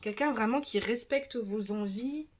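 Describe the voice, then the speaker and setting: A woman's voice: fairly high pitch, a little slow, very noisy. Chatty French woman reading tarot. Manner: chatty, hesitant, many restarts and fillers. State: slightly stuffy nose. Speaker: tarot reader. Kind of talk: casual tarot reading video.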